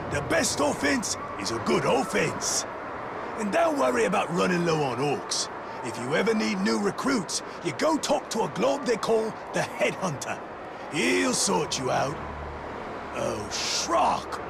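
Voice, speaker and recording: High gravelly chatty orc sidekick. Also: male voice, background noise, full character voice. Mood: flustered. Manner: rambling, jovial, casual. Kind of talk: video game character acting. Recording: loud music behind the voice.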